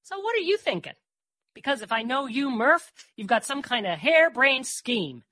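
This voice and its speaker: A woman voicing a brisk, skeptical, protective woman who scolds and challenges, in an audiobook. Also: sits high, sing-song, sharp tone, dry recording, studio quality, pitched above the performer's own voice, exaggerated voice. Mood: suspicious, probing. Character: Mary, sharp-tongued, protective, skeptical woman. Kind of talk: audiobook character voice.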